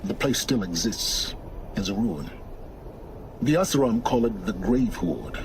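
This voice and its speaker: A man playing an aloof, secretive explorer in a video game, measured, cryptic and sure of himself. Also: lowish voice, lively intonation, background noise. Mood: cool, knowing. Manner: measured, cryptic, self-assured. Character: aloof, secretive male explorer of forbidden ruins, knowing and independent. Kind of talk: video game cutscene dialogue.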